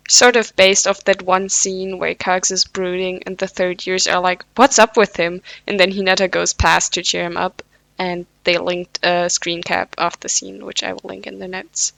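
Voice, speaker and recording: Gravelly, bright-voiced fanfic reader. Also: female voice, lowish voice, level tone, moderate pace, dry recording. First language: not English. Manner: casual, self-deprecating asides. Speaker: podfic reader. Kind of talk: reading fanfiction aloud.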